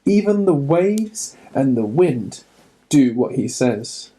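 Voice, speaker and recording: A man's voice: sing-song, slow pace. A man reading a children's storybook aloud, voicing frightened male fishermen disciples caught in a storm. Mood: awed. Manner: high-pitched frantic shouting.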